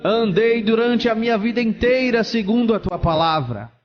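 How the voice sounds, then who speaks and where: A man's voice: high-pitched, normal speed, faint music behind, voice pushed up, close to an everyday voice. A man voicing an anguished male pastor in a religious story, crying out and pleading insistently. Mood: desperate pleading. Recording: muffled, noticeable background noise.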